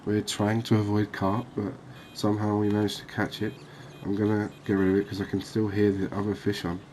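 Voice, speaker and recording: A man giving relaxed, unhurried, musing ASMR-style gameplay commentary, chatting to his viewers. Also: lowish voice, slight background noise. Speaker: host of a cozy fishing game channel.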